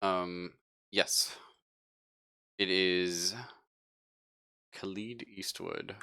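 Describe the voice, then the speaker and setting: A man with a fairly low, forward, bright voice runs a tabletop game. He talks casually as game master and is quick to joke and laugh. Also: level tone, clear, resonant tone. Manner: jokey, laughs often.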